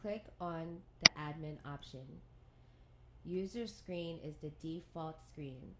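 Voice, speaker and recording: A woman reading scripted instructions for a software tutorial in a measured, neutral, step-by-step way. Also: low-pitched, a little hiss or hum, low-quality recording.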